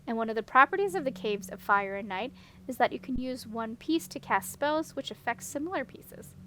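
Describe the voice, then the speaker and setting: A woman voicing a calm, confident female character who explains things courteously and matter-of-factly. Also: fairly high pitch, moderate pace, slight background noise, poor audio. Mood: assured. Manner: assured, matter-of-fact.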